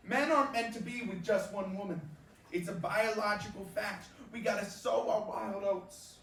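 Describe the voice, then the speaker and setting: A man's voice: sits high, moderate pace, a bit bright. A man on stage playing a cocky, crude veteran baseball star who brashly and slyly schools a rookie in slangy tough-guy talk. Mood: swaggering, coaching. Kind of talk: staged reading of a play, theatrical dialogue. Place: indoor performance space, recorded from afar. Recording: roomy distant stage recording, background noise.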